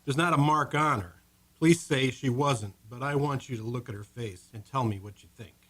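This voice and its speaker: A man's voice: mid-range voice, normal speed, very noisy, natural voice. A man playing a blunt morgue attendant. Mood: grim. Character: world-weary male morgue attendant. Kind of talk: old-time radio drama.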